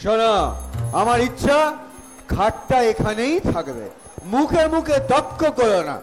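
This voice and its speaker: A man playing a meek, miserly, pious elderly husband with sanctimonious, drawn-out phrases, in a staged comic audio drama. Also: extremely high pitch, expressive, soft background music. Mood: placating. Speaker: amateur actor, schoolteacher.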